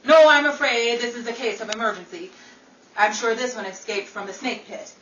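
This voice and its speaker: A woman's voice: on the high side, normal speed, a bit bright, natural voice. A woman playing a vain, wisecracking Hollywood actress in an old-time radio comedy style, theatrical and sassy. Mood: sarcastic. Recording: roomy, distant, dull sound with faint noise.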